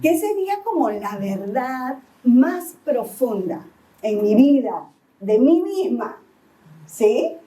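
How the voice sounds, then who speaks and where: High-voiced Peruvian woman preaching unhurriedly. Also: big pitch swings, slow pace, mellow, distant mic, poor audio. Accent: Peruvian (Lima). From Peru, Lima. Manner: asks the congregation "¿sí?" again and again. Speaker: pastor. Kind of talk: sermon. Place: church.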